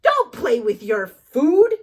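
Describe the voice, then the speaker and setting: A stern mother scolding her small son, as a woman puts her on while reading a picture book. She barks short, firm commands in a high voice that leaps up and down. She speaks slowly and deliberately. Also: wildly swooping pitch, heavily acted.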